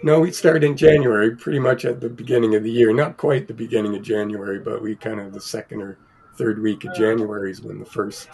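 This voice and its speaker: A man co-hosting a casual film podcast, sounding croaky from a cold and rambling with many fillers and restarts. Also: fairly low pitch, steady tone, a little fast, darkish tone, rough recording. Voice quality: gravelly, husky. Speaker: podcast co-host.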